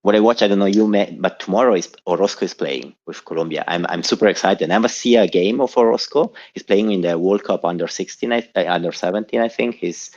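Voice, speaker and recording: A man's voice: low-pitched, quick pace, hardly any pauses, a little mellow, dull audio. A man from southern Switzerland whose first language is Italian, calling in to a show and chatting casually in a rambling way, with many restarts. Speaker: caller. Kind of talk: casual call-in chat. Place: home in Zurich.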